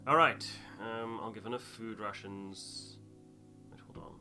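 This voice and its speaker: A man's voice: lowish voice, a little slow. A man giving casual gameplay commentary, thinking aloud and reading out on-screen text. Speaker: host of a game playthrough. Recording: music behind the voice. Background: game music with guitar.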